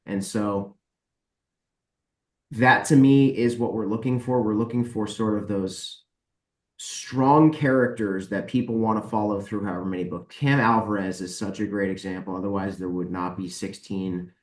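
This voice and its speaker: A man's voice: on the low side, fairly even intonation, moderate pace. A man giving blunt, explanatory answers in a casual interview as a podcast guest, recorded in an echoey room. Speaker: guest, book publisher.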